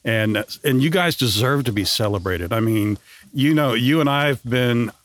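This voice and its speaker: A man's voice: mid-range voice, moderate pace, fairly bright tone. A man hosting a radio interview, asking friendly questions with frequent restarts. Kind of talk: casual interview.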